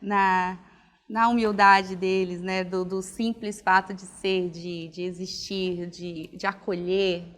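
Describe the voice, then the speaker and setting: A woman's voice: medium pitch, level tone, slow. A young adult woman from Alagoas, a pedagogue and psychology student, answering interview questions in a reflective, casual way. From Northeast Brazil, Alagoas. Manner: reflective, frequent "né" and restarts. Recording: long hall reverb.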